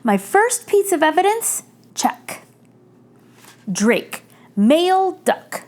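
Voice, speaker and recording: A woman reads a picture book aloud for children. Her voice is high and she takes her time, phrasing each line deliberately. The intonation swings widely in an animated storytelling style.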